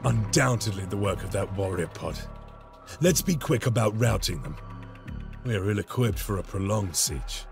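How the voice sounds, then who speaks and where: A gravelly space marine lieutenant commands with zealous formality. His low voice swings widely in pitch, stern and declamatory in video game style. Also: animated, moderate pace. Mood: stern, resolute. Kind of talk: video game cutscene voice acting. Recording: game music behind the voice.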